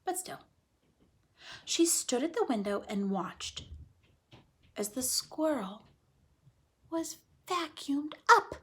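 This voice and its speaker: Female children's storyteller, slightly high, very animated pitch, dramatic stretched words and hushed asides. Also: slow, long pauses. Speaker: children's read-aloud storyteller. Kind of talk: expressive storybook narration with chatty asides to children.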